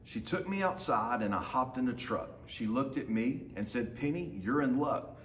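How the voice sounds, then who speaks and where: A man's voice: mid-range voice, animated, normal speed. A man in his thirties reading a children's picture book aloud to students as their teacher, with short asides to his listeners. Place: large open indoor lounge with hard floor. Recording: roomy echo, faint background noise.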